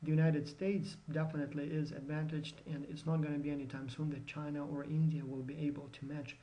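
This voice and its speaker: Lecturer, man, slightly high voice, casual explaining style with fillers. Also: flat delivery, fairly quick, warm tone, low-quality recording. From Ukraine. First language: Ukrainian. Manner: conversational, with frequent fillers and restarts. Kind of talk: recorded university lecture.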